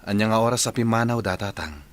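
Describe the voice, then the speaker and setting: A man playing a respectful, even-tempered young husband and son in a radio drama. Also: fairly low pitch, fast, natural voice. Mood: concerned. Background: music.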